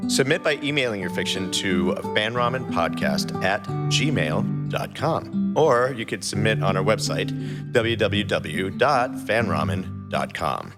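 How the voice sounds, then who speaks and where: A man's voice: lowish voice, animated, unhurried. Man, podcast co-host, scripted announcements, reverberant sound, prominent guitar music behind.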